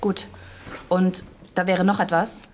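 Earnest young female agent, gentle, hesitant, polite, radio drama, engine and street noise behind. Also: on the low side, little pitch movement, slow pace. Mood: anxious. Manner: hesitant, soft-hearted, polite.

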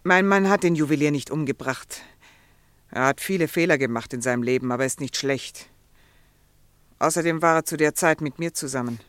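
An actress playing a desperate, determined wife in a German radio drama, earnest, insistent and pleading. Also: female voice, sits low, fairly quick, dry recording, lightly acted. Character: Mrs Parsons, desperate, determined wife of an accused man.

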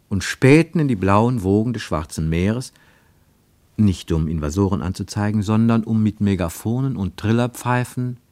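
A man with pronunciation reading literary prose for radio, measured and deliberate, with emphatic phrasing and pauses. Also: on the low side, no echo. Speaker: narrator, reader of literary prose. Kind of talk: scripted literary narration for German radio.

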